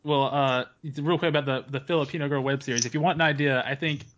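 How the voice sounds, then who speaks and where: Relaxed male co-host, rambling questions, mid pitch; muffled lo-fi audio. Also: fast talker. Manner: rambling, laid-back questions. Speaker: podcast co-host. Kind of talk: casual podcast interview. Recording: dull lo-fi audio.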